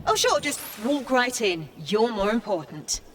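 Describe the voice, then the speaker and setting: A woman's voice: on the high side, big pitch swings, measured pace, fairly bright tone, a little hiss or hum. An actress playing a polite but sassy young female AI assistant in a video game, efficient and formal with dry sarcasm. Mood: indignant, sarcastic.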